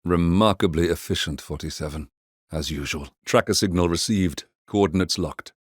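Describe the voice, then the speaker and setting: A composed, brooding mercenary handler from a stealth video game. His voice sits low, and he delivers briefings in measured, understated phrases with a wry edge. The sound is dry and close, free of room echo. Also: breathy edge. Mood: quiet satisfaction. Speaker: mission handler. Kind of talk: scripted video game voice acting, mission briefing.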